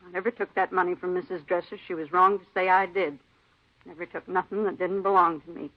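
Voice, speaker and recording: A woman's voice: fairly low pitch, fairly even intonation, brisk, natural voice. An actress playing an aggrieved, defiant cleaning woman with plaintive, insistent, clipped folksy phrasing in a 1950s radio drama. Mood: bitter, aggrieved. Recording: muffled vintage radio recording.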